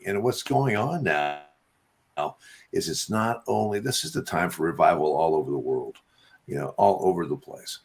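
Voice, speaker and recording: A man hosting a talk show, speaking earnestly about faith in a halting, repetitive way. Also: sits low, vocal fry, slightly rough. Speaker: radio show host. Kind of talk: casual religious talk.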